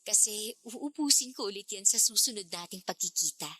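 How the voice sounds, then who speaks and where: A woman's voice: mid-range voice, lively intonation, moderate pace, pushed and bright, breathy edge, dry recording. A woman playing a bold, coaxing, flirtatious married lover in a Tagalog radio drama. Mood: seductive.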